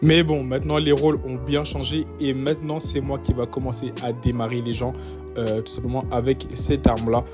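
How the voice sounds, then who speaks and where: Chatty young French gamer, mid pitch. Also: male voice, little pitch movement, a little fast, music in the background. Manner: loose, chatty, constant fillers and "tout simplement" restarts; gamer slang, addresses viewers as "les gars". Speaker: mobile game content creator. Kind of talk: casual gaming commentary ranking weapons.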